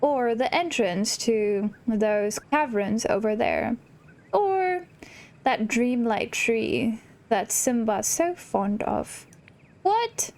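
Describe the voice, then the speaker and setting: A woman's voice: on the high side, lively intonation, extremely slow, a little mellow. A woman hosting a casual let's play, commenting on the game and reading its dialogue aloud. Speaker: gaming channel host.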